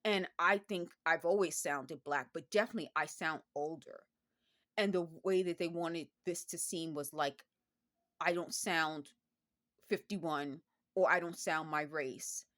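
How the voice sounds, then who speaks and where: A woman's voice: fairly low pitch, fairly bright tone. A woman in her fifties talking earnestly and insistently in a personal vlog monologue, circling back over the same points. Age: 50s. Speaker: vlogger.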